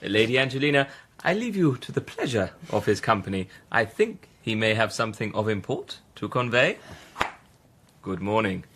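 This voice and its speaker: An actor playing a suave, mockingly courteous military captain in a period radio drama, formal and drawling. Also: male voice, lowish voice, sing-song, normal speed, fairly bright tone, slight background noise. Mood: ironic courtesy.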